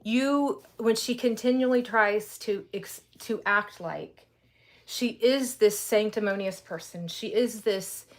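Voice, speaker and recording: A woman's voice: mid-range voice, takes it slowly. A woman co-hosting a casual livestream, greeting viewers in the chat by name as she talks. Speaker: livestream co-host.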